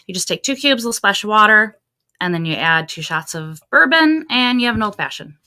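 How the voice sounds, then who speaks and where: Guest: small business owner, woman, bright tone, mid pitch, friendly and plain. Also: animated, a little fast, clear, resonant tone. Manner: friendly, explaining a product plainly. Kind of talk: casual livestream chat. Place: basement studio. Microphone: one shared table microphone.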